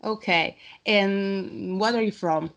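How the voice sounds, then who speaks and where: A woman interviewer speaks at a medium pitch. She puts her questions informally, feeling her way through phrasing. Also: slow, a bit bright. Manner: hesitant non-native English phrasing.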